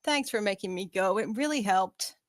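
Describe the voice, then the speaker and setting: A woman's voice: mid-range voice, animated, normal speed, no echo, clean studio sound, close to an everyday voice. A woman playing a troubled, guilt-ridden young woman who is earnest and determined, in an informal screenplay table read. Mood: relieved.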